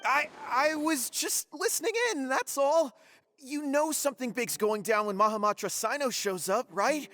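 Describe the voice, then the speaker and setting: A nervy, evasive young woman caught out in a video game. Her high voice is defensive and defiant, trailing a long reverb. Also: moderate pace, fairly bright tone, studio quality, faint music behind. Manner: defensive, stammering.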